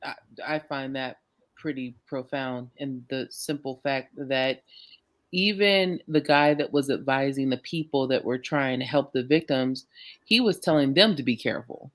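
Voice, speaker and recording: Agreeable co-host, medium-pitched conversational voice. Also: a little slow, fairly bright tone. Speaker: co-host of a reaction show. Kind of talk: conversational reaction commentary.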